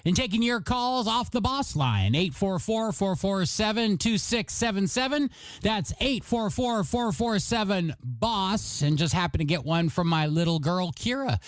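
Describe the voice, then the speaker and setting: A man's voice: high voice, lively intonation, measured pace, dry recording. An American man hosting as a radio DJ, delivering upbeat oldies patter in a jokey, self-mocking showman's manner. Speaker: radio DJ and host. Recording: music playing behind the voice. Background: pop and rock music.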